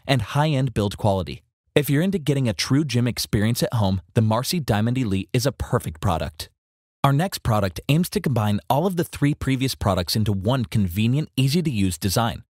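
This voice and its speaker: A man reads a scripted review of home gym gear, mid-pitched and steady. The sound is dry and close, with no room around it. His phrasing is measured and list-like. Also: no room sound. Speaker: product reviewer. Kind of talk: scripted voice-over product review.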